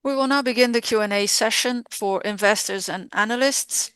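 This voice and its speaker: A woman interpreting live on a formal corporate earnings call, speaking in measured, halting short phrases. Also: on the high side, a bit bright, clear, resonant tone, dry recording.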